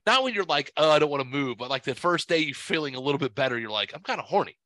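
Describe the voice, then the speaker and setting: A man's voice: a little high, animated, very fast, bright, forceful tone, no room sound. A man hosting a podcast while sick and stuffed up, talking in a crude, profane, rambling way. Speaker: podcast host. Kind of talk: casual podcast chat.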